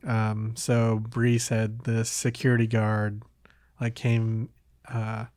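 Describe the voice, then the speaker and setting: A man's voice: on the low side, completely flat delivery, slow, a little mellow, no room sound, studio quality. A man hosting a podcast in casual conversation, hesitant and meandering, often trailing off between thoughts. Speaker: podcast host. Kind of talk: casual chat.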